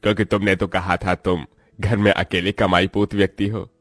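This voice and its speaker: A man voicing a calculating office boss in a cartoon story, measured and inquisitive. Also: low-pitched, little pitch movement, a bit bright, no echo, soft background music. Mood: probing, measured. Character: smooth-talking male office boss, probing and calculating.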